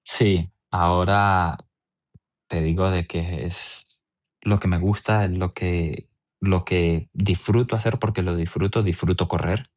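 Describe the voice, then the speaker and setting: Deep-voiced young Venezuelan man. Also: fairly even intonation, takes it slowly. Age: 20s. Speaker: athlete interviewee. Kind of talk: personal storytelling in an interview.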